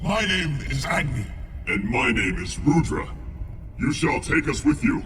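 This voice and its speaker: A man's voice: fairly high pitch, sing-song, unhurried, background noise. A man voicing a dim, pompous demon brother in a video game cutscene, stilted and earnest, with monstrous reverb. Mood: solemn urgency. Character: dim, pompous male demon sword brothers, bickering formally. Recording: echoey, distant, under loud music.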